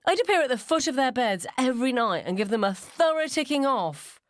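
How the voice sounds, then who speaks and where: A woman's voice: sits high, lively intonation, brisk, dry recording, clean studio sound, pitched above the performer's own voice. A woman voicing a domineering, self-righteous school mum in a story, emphatic and judgemental. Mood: forced brightness. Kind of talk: short story character dialogue.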